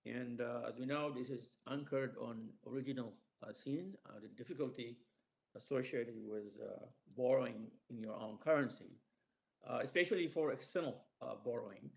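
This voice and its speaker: A man speaking haltingly as a professor giving formal remarks as a conference discussant. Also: mid-range voice, creaky. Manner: halting, hesitant delivery.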